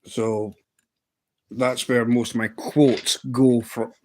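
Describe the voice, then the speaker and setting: A man's voice: mid-range voice, level tone, slow pace, darkish tone. A Scottish man in his fifties hosting a casual live stream, rambling playfully with lots of ums and restarts. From Scotland. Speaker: livestream host.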